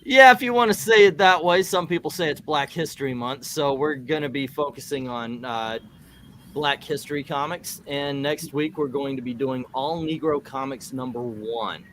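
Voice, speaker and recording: A man from the United States hosting a casual comic book read-along stream, rambling and joking in a halting way. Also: mid-range voice, a bit bright, slight background noise. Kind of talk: casual rambling livestream chat.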